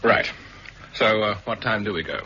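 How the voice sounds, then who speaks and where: A man playing a sharp, inquisitive investigator in a 1960s radio drama, asking probing questions and giving short, clipped replies. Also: lowish voice, moderate pace. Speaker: investigator on the island. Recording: dull archive radio recording with faint hiss.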